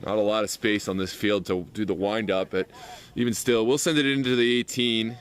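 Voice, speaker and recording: A man doing loud live play-by-play for an amateur soccer match from the sideline, his voice lifting on attacking moves. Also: lowish voice, fairly quick, clean tone. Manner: loud, excitable calls on attacks. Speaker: play-by-play commentator. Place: outdoors at a soccer field sideline. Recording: outdoor field with crowd noise. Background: crowd.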